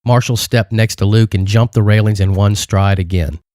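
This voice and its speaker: A man with a fairly low voice narrates an audiobook. He reads scripted, dramatic prose as a storyteller, and the sound is dry, with very little room in it. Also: fairly even intonation, moderate pace, darkish tone, dry recording.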